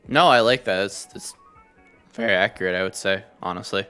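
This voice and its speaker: A podcast host asking questions in a relaxed, encouraging way, filling pauses with "um". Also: low voice, smooth, faint music behind. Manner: relaxed, affirming, lots of fillers. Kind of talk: casual interview.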